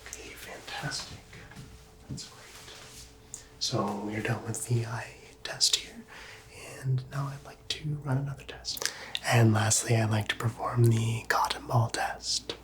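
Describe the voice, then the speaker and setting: A man's voice: medium pitch, fairly even intonation. Whispering man in ASMR doctor roleplay. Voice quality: breathy, mostly unvoiced whisper. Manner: gentle, reassuring, unhurried doctor patter. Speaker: ASMR performer playing a doctor.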